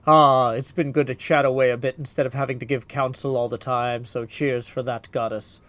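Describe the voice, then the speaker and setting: A man's voice: mid-range voice, flat delivery, normal speed, fairly bright tone, close to an everyday voice. A man voicing a hearty, seasoned hero's shade in a video game, chatty and old-fashioned in his phrasing. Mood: friendly.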